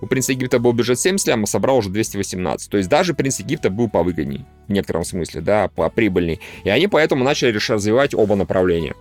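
A man with a low voice hosts a casual podcast. He talks fast in blunt, informal slang, with music playing behind him. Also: animated. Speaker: podcast host. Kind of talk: casual podcast discussion of animation.

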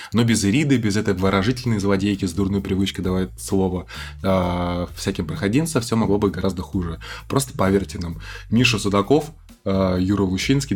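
Really low voiced guy reading a review out loud on a podcast, fast, trips up a little. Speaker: podcast co-host. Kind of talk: reading a written review aloud.

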